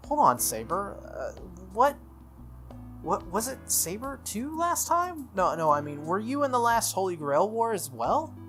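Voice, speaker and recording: A man's voice: high-pitched, sing-song, a little slow, fairly bright tone, pitched above the performer's own voice, full character voice. A man voicing an earnest, hesitant teenage boy, a reluctant young master in a visual novel. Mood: startled, incredulous. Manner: incredulous, casual, hesitant. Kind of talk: visual novel character read-aloud. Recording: music under the voice.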